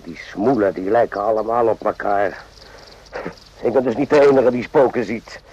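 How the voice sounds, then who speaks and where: A man's voice: lowish voice, normal speed, a little mellow, a little hiss or hum, lightly acted. An actor playing a suspicious, plain-spoken army sergeant in a radio drama, blunt and grumbling yet deferential to his superior. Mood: suspicious, irritated. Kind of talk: radio drama acting in Dutch. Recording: dull, muffled old radio recording.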